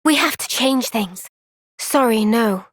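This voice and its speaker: Earnest young soldier, high, unhurried voice. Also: female voice, dry recording, clean studio sound. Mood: thoughtful. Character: earnest, thoughtful young woman, a soldier in fantasy game. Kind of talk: video game cutscene voice acting.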